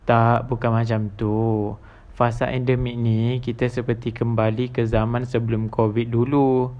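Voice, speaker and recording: A man's voice: medium pitch, highs cut off, natural voice. A young man with a Malaysian accent playing a curious, chatty housemate in an amateur animation. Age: young adult. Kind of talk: scripted amateur animation dialogue.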